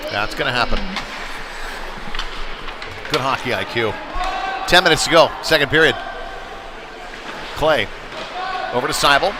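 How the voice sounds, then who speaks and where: Man calling hockey over loud rink noise. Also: medium pitch, animated, a little slow, long pauses. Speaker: play-by-play commentator. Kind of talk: live youth ice hockey play-by-play commentary. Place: indoor ice rink, at the game. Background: loud rink ambience, bangs.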